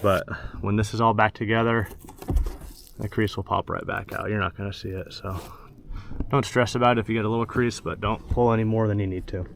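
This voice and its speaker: Male how-to presenter, lowish voice, plain and practical, casual delivery, noisy on-location handheld recording. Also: fairly even intonation, a little fast. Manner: plain-spoken, instructional, short practical phrases. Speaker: presenter of a truck installation how-to. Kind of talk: casual hands-on tutorial narration. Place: in and around a parked pickup truck outdoors. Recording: clear background noise, clicks of handling.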